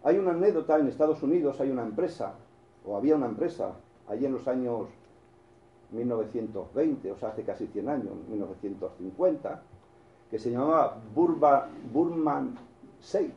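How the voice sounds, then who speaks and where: Very dark-voiced Spanish-accented preacher. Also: male voice, mid-range voice, expressive, moderate pace, echoey room, dull audio, slight background noise. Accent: Spain Spanish. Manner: storytelling with frequent restarts and asides to the congregation. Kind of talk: sermon.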